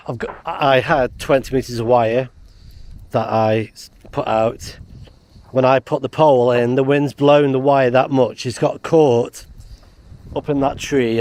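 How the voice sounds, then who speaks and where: A man's voice: mid-range voice, a little mellow. British man vlogging casually over background noise. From United Kingdom. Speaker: amateur radio vlogger. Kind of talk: casual vlog chat. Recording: background noise, car and outdoor ambience.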